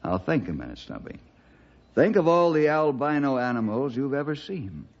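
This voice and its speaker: Steady, devout American investigator, measured and firm, 1960s radio drama, slightly dull archival sound. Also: male voice, medium pitch. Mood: patient. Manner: measured, probing, moralizing. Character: steady, principled man, devout leader and investigator. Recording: dull vintage radio recording.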